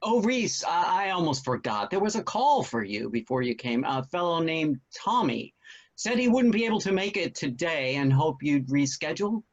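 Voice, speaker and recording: A man's voice: fairly high pitch, big pitch swings, normal speed, lo-fi sound. A man playing a genial, wryly amused father in a theatrical play reading. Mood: mild concern. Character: genial father proposing a festive toast. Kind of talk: virtual stage play table read.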